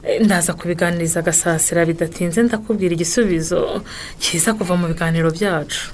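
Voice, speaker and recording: Good-humoured village mother, speaking briskly played by a woman. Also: on the low side, natural voice. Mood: calm, principled. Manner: chuckling, patient, explanatory. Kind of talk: radio drama dialogue.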